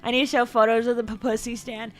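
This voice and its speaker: A young woman chatting casually on a livestream. She rambles, repeats and stumbles over words, and her voice sometimes jumps suddenly into high squeaks. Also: flat delivery, fast. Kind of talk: casual stream chat. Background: faint background music.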